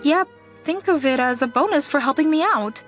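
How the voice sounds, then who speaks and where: Manga dub heroine, female actor: confident company auditor, fairly high voice, direct delivery. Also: lively intonation, moderate pace, fairly bright tone, faint music behind. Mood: teasing. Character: self-assured, teasing female company auditor. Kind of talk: English manga recap dub acting.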